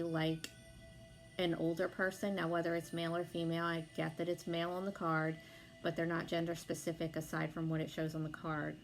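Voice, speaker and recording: A young woman with a hoarse voice from being sick, chatting casually as she gives a tarot reading, with lots of fillers and some swearing. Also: deep voice. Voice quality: hoarse, gravelly. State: sick, losing her voice. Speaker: tarot reader.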